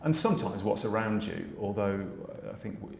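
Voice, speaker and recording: A low-voiced middle-aged British man talks through his pantomime work in a relaxed, halting way, full of restarts and fillers. The room is echoey, and faint noise sits behind him. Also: gravelly. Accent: British English. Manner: hesitant, many restarts and fillers. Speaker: panto writer, director and dame. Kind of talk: relaxed interview chat.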